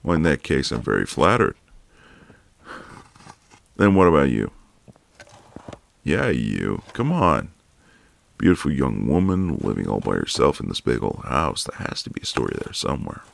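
A man playing a flirtatious, easygoing retired marine neighbour in an intimate audio roleplay, with a deep, gravelly voice and a casual drawl. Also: sing-song, moderate pace, pauses often, full character voice. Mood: teasing, flirtatious.

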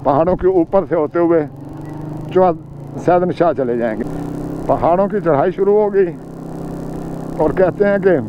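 An older man with a Pakistani Urdu accent talks from the seat of a motorcycle in a fairly high voice, chatty and guide-like. The audio is dull and muffled, and engine and wind noise run loud behind him outdoors. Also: normal speed, pauses often. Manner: chatty guide, pointing out sights, reciting short prayers along the way. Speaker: moto vlogger. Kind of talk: casual travel commentary while riding. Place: outdoors on a motorcycle on mountain roads. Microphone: helmet or bike-mounted camera mic.

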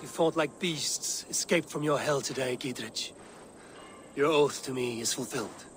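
A man's voice: mid-range voice, normal speed, noisy background, natural voice. A man acting a blunt, impatient medieval warrior in a video game, with a brooding manner.

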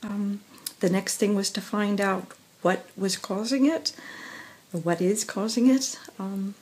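A young woman speaks slowly into a phone held at arm's length, her weakened voice husky and breathy. She stops and starts, fills gaps with little hesitations, and lets small laughs slip in between. Her pitch sits in an ordinary middle range. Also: fairly even intonation. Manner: halting, many fillers and pauses, soft laughs. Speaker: professional singer vlogging. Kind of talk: casual personal vlog. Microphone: phone camera at arm's length.

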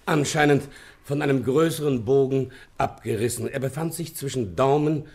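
A man's voice: mid-range voice, moderate pace, a little mellow, breathy edge. A man playing a polite, businesslike police inspector in a German radio play, deferential and matter-of-fact as he reports.

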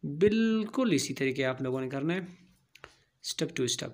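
A man's voice: on the high side, lively intonation, slow pace. A man narrating a home cooking tutorial, giving plain step-by-step instructions and repeating key phrases. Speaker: home cooking channel host.

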